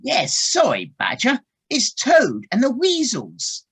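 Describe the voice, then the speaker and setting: A woman's voice: fairly high pitch, huge pitch swings, slow, a bit bright, slightly rough, exaggerated voice. A woman voicing a friendly, apologetic water rat in an audio play. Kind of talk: community audio play acting. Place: living room, recorded over video call.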